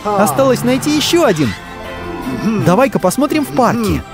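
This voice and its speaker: A woman's voice: a little high, very animated, normal speed. Bubbly woman narrating a kids' cartoon. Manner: cheerful, encouraging, exclamatory, talking to the characters and the kids. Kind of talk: lively scripted narration for young children. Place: voice-over studio. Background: loud cartoon music.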